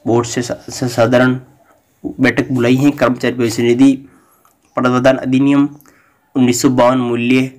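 A man hosting a news channel, reading a bulletin aloud in a flat, halting way. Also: medium pitch.

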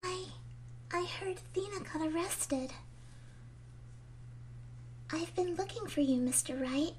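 A timid, gentle young woman from a video game, played by a woman, speaks worriedly in a high voice. There is faint noise in the recording. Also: slow. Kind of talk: fan dub of a visual-novel video game.

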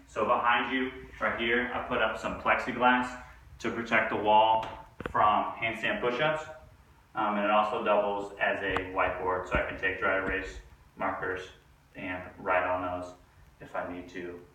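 A young man talks through his home garage gym in a loose, casual way, dropping frequent ums and uhs. His voice sits low, with a bright, forceful edge. He is recorded by a camera a few meters off, so the hard garage walls ring around him. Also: measured pace, slight background noise. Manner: relaxed, many ums and uhs. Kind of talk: casual walkthrough tour. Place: two-car home garage gym, indoors. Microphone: camera mic from a few meters away. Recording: echoey garage, distant from mic.

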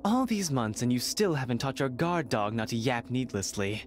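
A man's voice: mid-range voice, expressive, normal speed, slight background noise, close to an everyday voice. A man playing a smug, theatrical usurper prince. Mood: mocking. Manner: theatrical, smug, drawling sarcasm. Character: arrogant, mocking noble heir claiming the throne. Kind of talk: video game voice acting.

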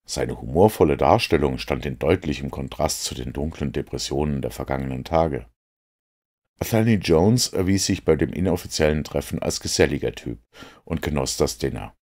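A man reading a literary story aloud in a measured, deliberate way as an audiobook narrator. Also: a bass voice, a little mellow. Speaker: audiobook reader.

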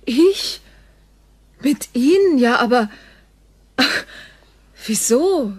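A woman playing an informal, friendly and frank Earth woman in a science fiction radio play, with a halting, colloquial delivery. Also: high voice, lively intonation, a little mellow, husky, no echo, heavily acted. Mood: surprised, flustered.

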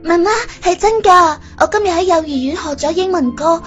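Very high-voiced little girl. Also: a little fast, highs cut off, faint music behind. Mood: excited, earnest. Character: excited, pleading little girl, small child. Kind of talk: scripted audio drama dialogue.

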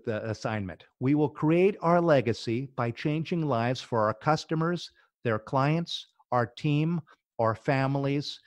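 A man's voice: medium pitch, no room sound. A middle-aged man, a business founder, talking eagerly as a podcast guest and often restarting his words. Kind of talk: casual interview talk.

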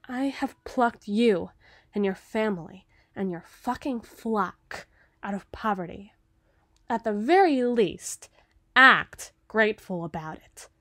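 A woman playing a cruel, possessive evil queen in an ASMR roleplay, haughty and theatrical, with deliberate menace. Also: medium pitch, a bit bright, no echo, studio quality. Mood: indignant, possessive.

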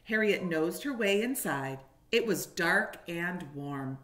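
A woman's voice: lowish voice, slow. A woman in her forties reading a picture book aloud expressively and talking to young children. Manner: animated, explaining and questioning for young children. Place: living room, indoors. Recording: echoey room.

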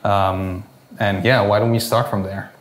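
A man's voice: fairly low pitch, level tone, a little slow, a little hiss or hum. A man in his forties moderating an onstage interview, upbeat and prepared, quoting figures to an event audience. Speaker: moderator, venture investor. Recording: roomy hall sound.

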